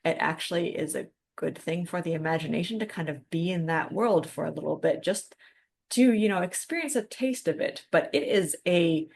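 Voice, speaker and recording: Female podcast co-host, lowish pitch. Informal explainer, conversational but bookish. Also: moderate pace, gravelly. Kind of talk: literary discussion, casual with quoted passages.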